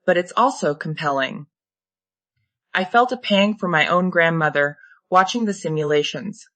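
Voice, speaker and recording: A sharp, troubled undercover agent played by a synthetic woman in a screenplay read. Her voice is bright and forceful, recorded very dry. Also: on the low side, normal speed, no room sound, close to an everyday voice.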